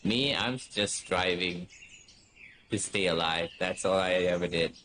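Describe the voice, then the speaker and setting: A man's voice: low-pitched, unhurried, pushed and bright, a little hiss or hum. A middle-aged man chatting casually and wryly as he streams a game, rambling along. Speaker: gaming streamer. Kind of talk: casual chat over gameplay.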